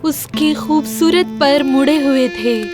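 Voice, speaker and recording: A woman's voice: high voice, music in the background. A woman narrating a scripted fairy tale for children as the storyteller.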